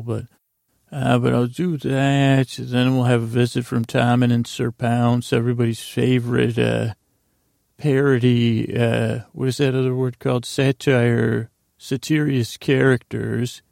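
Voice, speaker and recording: A man hosting a bedtime podcast, meandering and halting in a creaky voice, with an easy, soothing delivery meant to lull listeners to sleep. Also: mid-range voice, flat delivery, darkish tone, no room sound, studio quality. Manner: meandering, halting, trails off and restarts. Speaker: podcast host.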